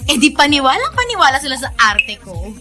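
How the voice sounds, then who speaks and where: A woman playing a young, smug, scheming hacienda woman who feigns sweetness in a Filipino radio drama, with animated, gleeful delivery. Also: high voice, a little fast, music in the background. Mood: smug, gloating.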